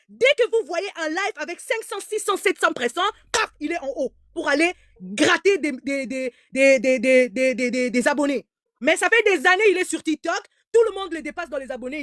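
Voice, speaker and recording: A woman's voice: high voice, a bit bright, no room sound, studio quality. A woman giving heated live commentary in an emphatic, repetitive way, stammering as she restarts, whose first language is German. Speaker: online commentator.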